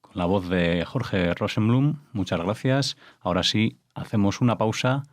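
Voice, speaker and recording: A man hosting a radio news show, announcing segments in a measured, formal way. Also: fairly low pitch, no room sound, studio quality.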